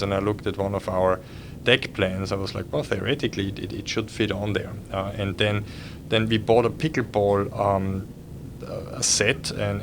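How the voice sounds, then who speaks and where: A middle-aged man with an Austrian accent talks in a low, fairly flat voice. He halts often, filling gaps with uhs and restarting his sentences. A steady hum sits underneath the recording. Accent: Austrian German. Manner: hesitant, many fillers and restarts. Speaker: cruise line executive. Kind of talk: podcast conversation.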